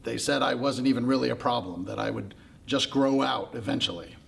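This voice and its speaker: A small, lonely storybook creature, as a male storyteller puts it on. It is meek and unsure of itself, speaking haltingly and doubting its own worth. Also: medium pitch, gravelly, slight background noise. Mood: hurt, mopey. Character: small timid insecure creature, a lonely little problem.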